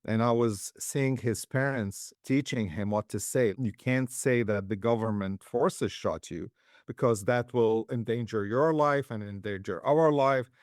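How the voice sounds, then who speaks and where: A man from Syria, a guest, recounting his humanitarian health work in calm, measured interview answers. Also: medium pitch, no room sound, studio quality.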